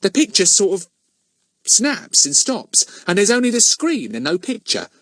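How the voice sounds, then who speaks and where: Man putting on a chatty, high-pitched young woman. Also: huge pitch swings, a little slow, fairly bright tone, dry recording, full character voice. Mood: chatty, eager.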